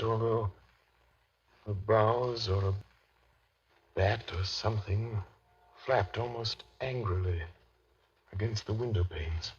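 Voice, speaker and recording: Earnest worried young doctor, lowish husky voice, solemn old radio horror drama, muffled vintage sound. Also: male voice, a bit bright. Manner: solemn, measured diary narration. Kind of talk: 1930s radio drama narration and acting. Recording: dull vintage 1930s radio recording.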